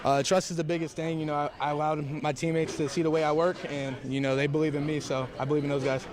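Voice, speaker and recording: A man's voice: fairly high pitch, flat delivery, fast talker, crowd noise. A young man, a college football quarterback, answering questions in a press interview. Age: young adult.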